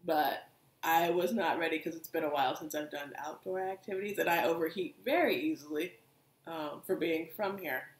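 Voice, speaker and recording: A young woman, co-hosting a podcast and telling stories in an animated, casual way. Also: low-pitched, normal speed. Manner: animated storytelling, many asides. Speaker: podcast co-host. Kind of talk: casual chat. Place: living room couch, indoors. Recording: echoey room.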